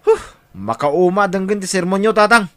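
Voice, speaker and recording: An actor playing a spoiled, insolent young son who demands money in an Ilocano radio drama, flippant, with an Ilocano accent. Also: male voice, high voice, moderate pace, fairly bright tone, a little hiss or hum.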